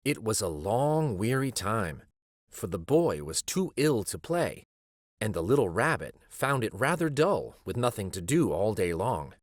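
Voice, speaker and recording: A man reading a children's story aloud as a storyteller, with measured, dramatic phrasing. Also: on the low side, sing-song, dry recording, clean studio sound. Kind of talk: expressive scripted storybook narration.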